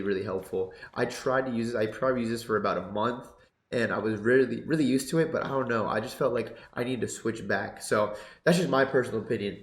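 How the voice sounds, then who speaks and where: A man's voice: lowish voice, echoey room. A young man with a congested voice, casually talking through his game settings and commentating gameplay on a headset mic. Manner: laid-back, excitable bursts during play. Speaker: competitive gaming YouTuber. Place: home gaming setup. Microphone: headset with boom mic.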